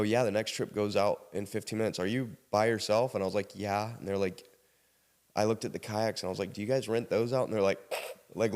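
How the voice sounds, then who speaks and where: A man with an American accent and a very low voice tells a story casually. He slips into quoted exchanges in an animated way, as a guest on a podcast would. The sound has a long, hall-like reverb. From South Carolina, United States.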